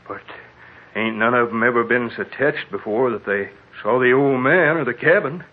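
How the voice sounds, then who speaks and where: An actor playing a skeptical, folksy desert-town local with dismissive rustic talk in a vintage radio drama. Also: male voice, medium pitch, moderate pace, close to an everyday voice. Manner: drawling rustic speech, dismissive. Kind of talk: 1940s radio drama acting. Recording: tinny vintage radio recording.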